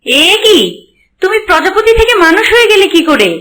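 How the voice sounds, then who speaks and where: A woman's voice: very high-pitched, animated, fairly quick, fairly bright tone. A woman voicing a haughty, easily wounded young princess in a cartoon fairy tale. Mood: astonished. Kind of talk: cartoon voice acting.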